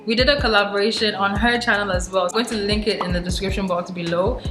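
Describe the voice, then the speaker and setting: A woman's voice: medium pitch, steady tone, fast. Chatty ghanaian woman hosting her vlog, giggles a lot, echoey room w music. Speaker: vlogger host. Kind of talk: casual chat with a guest.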